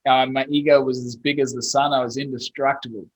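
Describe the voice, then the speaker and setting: A man's voice: mid-range voice, level tone, fairly quick, muffled recording. A man in his thirties from Australia with an Australian accent, a guest talking bluntly and casually, with mild swearing. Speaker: guest, SEO expert. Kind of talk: casual interview chat.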